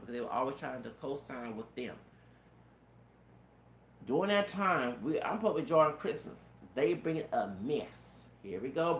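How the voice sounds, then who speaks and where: A man in his thirties with a feminine-sounding, gravelly voice rambles through a personal story. He stumbles over repeated words and curses. The recording has a roomy echo with faint background noise. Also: medium pitch, a bit bright. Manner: repetitive stammered restarts, profanity, frequent "you know what I'm saying".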